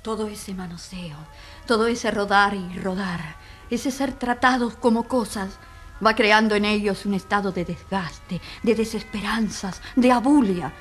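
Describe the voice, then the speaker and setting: An actress playing an earnest, impassioned advocate addressing a jury with emphatic rhetorical questions in a radio courtroom drama. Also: female voice, mid-range voice, a little slow, faint music behind, lightly acted.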